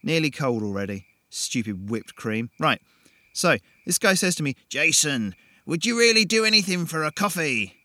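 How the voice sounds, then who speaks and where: Man doing a cocky young guy, high-pitched, loud, fast-talking. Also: very animated, no echo. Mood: breezy excited. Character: brash excitable young man, cocky then panicked.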